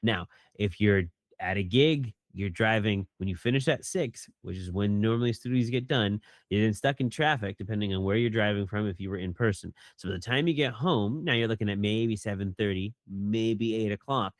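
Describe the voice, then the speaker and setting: A man, a voice actor, chatting casually in an interview and talking animatedly, often jumping into a higher voice when he quotes people. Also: normal speed, few pauses, dry recording. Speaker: voice actor guest.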